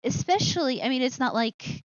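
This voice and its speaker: A woman's voice: sits high, animated, normal speed, no room sound, muffled recording. A woman hosting a live show with casual commentary, rambling and interrupting herself with asides.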